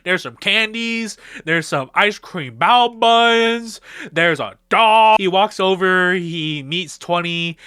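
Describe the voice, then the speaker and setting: A man's voice: sits high, takes it slowly, sharp tone, clear, resonant tone, dry recording, clean studio sound. A man casually improvising a story in a slangy, playful, conversational way. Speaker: content creator.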